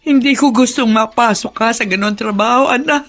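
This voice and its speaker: A woman's voice: fairly high pitch, fairly quick, a bit bright, lightly acted. An actress playing a weary, worried mother with a Filipino accent and plaintive delivery in a radio drama. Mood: distressed, pleading. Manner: plaintive, emotional. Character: weary, worried, protective poor mother of grown daughter. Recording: music behind the voice.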